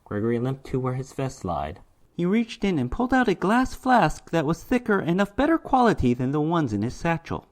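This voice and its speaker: A man's voice: on the high side, huge pitch swings, brisk. Man giving a theatrical story reading. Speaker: narrator of a short story. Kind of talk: dramatic reading of fantasy horror prose.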